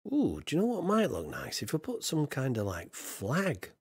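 Tired man streaming with lively pitch. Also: moderate pace, husky, no room sound, studio quality. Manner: jokey, mimics accents in short bursts, counts under his breath. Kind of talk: casual live-stream chat while building.

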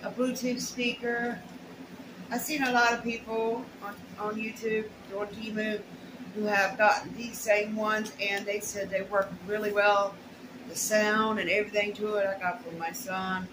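A woman's voice: mid-range voice, level tone, measured pace. A middle-aged woman chats casually through an unboxing at home, rambling in a folksy way with muttered asides. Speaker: haul vlogger. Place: small bare room at home. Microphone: camera or phone a short distance away. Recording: roomy echo, clear background noise.

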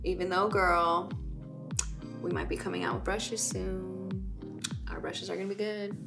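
A woman's voice: fairly low pitch, little pitch movement, measured pace, vocal fry, music in the background. A woman in her thirties chatting casually and teasingly while doing her makeup at a studio table. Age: 30s. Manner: giggly, upbeat, teasing. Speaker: makeup brand owner and host. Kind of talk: casual get-ready-with-me chat.

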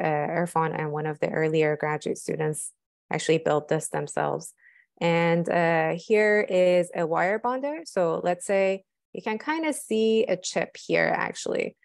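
A young woman scientist walking through a cleanroom fabrication lab, explaining the tools with many fillers and pauses. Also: on the low side, vocal fry, no echo, clean studio sound. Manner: hesitant, many fillers, technical. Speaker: lab scientist giving a tour.

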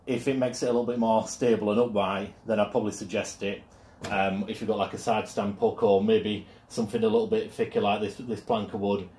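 A man's voice: on the low side, dark tone. A man casually demonstrating a product in his home garage, filming on his mobile phone and talking with frequent ums and uhs. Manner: hesitant, many ums and uhs. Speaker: product reviewer. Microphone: mobile phone camera. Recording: echoey garage.

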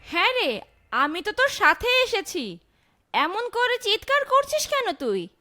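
A woman's voice: extremely high pitch, huge pitch swings, a little slow, sharp tone, heavily acted. A woman voicing a tender, uneasy mother in a fairytale cartoon, with an emotive, pleading delivery. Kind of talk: melodramatic Bengali cartoon voice acting. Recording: music behind the voice.

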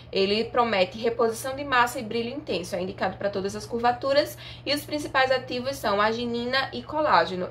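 A woman's voice: on the high side, fairly bright tone, a little hiss or hum. A young woman in a small indoor room chatting enthusiastically to her viewers as she unboxes products, facing a camera at desk distance. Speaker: beauty YouTuber. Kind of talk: casual product unboxing vlog. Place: small bedroom studio indoors.

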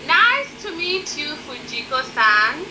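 Slow, lively-voiced woman English teacher. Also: high voice, background noise. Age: 30s. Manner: slow, encouraging, models phrases clearly for repetition. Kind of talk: online English lesson.

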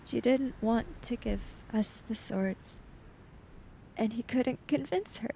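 A woman playing a grieving, vengeful young woman in a roleplay game, speaking in a hushed, terse, brooding way. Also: a little high, slow, vocal fry, husky, exaggerated voice, low-quality recording. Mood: hushed grief.

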